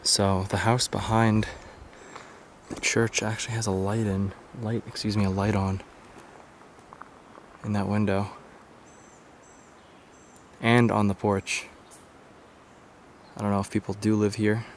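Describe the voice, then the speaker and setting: A man's voice: on the low side, little pitch movement, slow pace, pauses often. A young adult man casually narrating an exploration to a handheld camera as a vlogger. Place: outdoors on wooded roads and at derelict buildings. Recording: noisy outdoor handheld camera audio.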